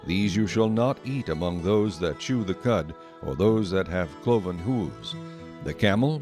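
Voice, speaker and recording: Low-voiced solemn man narrating over music. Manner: measured, solemn. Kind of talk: scripted scripture narration.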